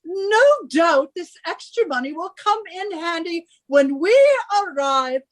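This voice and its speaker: A woman's voice: extremely high pitch, unhurried, fairly bright tone, a little hiss or hum, poor audio. A woman playing an imperious, scornful, middle-aged British wife who scolds and gives orders in a radio suspense drama. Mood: scornful, commanding.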